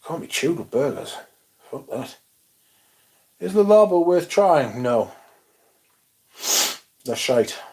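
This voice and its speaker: A young man hosting a casual livestream, reading chat comments aloud and chatting in a loose, relaxed way with casual swearing. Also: on the high side, slow pace, long pauses. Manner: laid-back, swears casually, mumbles asides, reads chat aloud. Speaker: livestream host.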